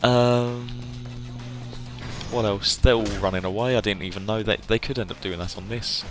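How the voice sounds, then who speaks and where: A man giving casual live game commentary to viewers, chatty and effusive, often pausing to search for words. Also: lowish voice, fairly even intonation, over music. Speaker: game streamer.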